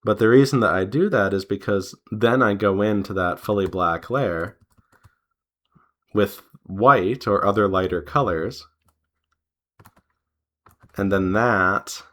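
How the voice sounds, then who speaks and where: A man chatting casually as he draws, rambling in an unhurried way and pausing mid-sentence. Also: fairly low pitch, fairly bright tone. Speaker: artist and devlog host. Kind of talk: casual chat while drawing.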